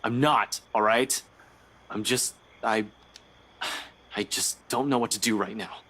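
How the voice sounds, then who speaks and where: A man's voice: lowish voice, a little slow, pushed and bright. A man voicing a shaken young man in a horror visual novel reading, defensive and curt.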